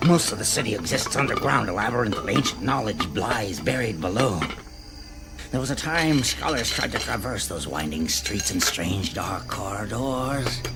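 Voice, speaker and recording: An actor playing an eccentric, lecherous alien archaeologist in a comic science fiction radio play, theatrical, shifting between musing murmurs and excited exclamations. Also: male voice, lowish voice, moderate pace, loud music behind the voice. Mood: ominous, haunted.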